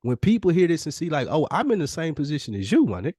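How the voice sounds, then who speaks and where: A man's voice: a little high, big pitch swings, quick pace, dark tone, no room sound, studio quality. A man co-hosting a podcast in casual, earnest talk, using slang and profanity and often voicing imagined quotes. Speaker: podcast co-host.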